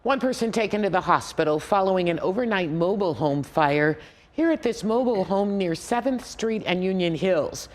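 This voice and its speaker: A woman's voice: medium pitch, expressive, normal speed. A woman reading scripted TV news as an anchor.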